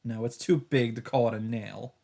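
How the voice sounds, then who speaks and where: A man's voice: mid-range voice, fairly even intonation, normal speed, creaky, warm tone. A man hosting a let's play, reading visual novel text aloud in an informal, dramatic way with casual asides. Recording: game music behind voice. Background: visual novel soundtrack.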